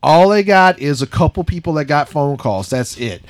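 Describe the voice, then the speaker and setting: A man in casual podcast banter, emphatic and quick to swear. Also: on the high side, expressive, dry recording. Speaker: podcast co-host.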